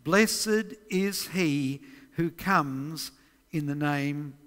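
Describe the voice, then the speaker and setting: A man's voice: fairly high pitch, a bit bright, smooth. Older Australian man preaching in a hall. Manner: measured, reads scripture aloud with emphasis. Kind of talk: sermon teaching on prophecy. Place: church stage, large indoor hall. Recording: long church hall reverb.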